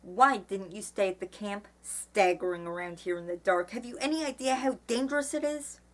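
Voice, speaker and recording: A calm, wry grown man, played by a woman reading a storybook. He sounds like a weary father, understated and reassuring as he speaks. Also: mid-range voice, normal speed, lightly acted. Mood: reproachful.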